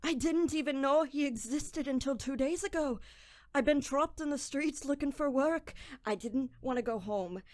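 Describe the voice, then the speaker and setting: Female actor as guilt-ridden young man, high voice, anguished halting delivery, melodramatic horror audio play, dry sound. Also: no room sound, natural voice. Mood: weary, despairing.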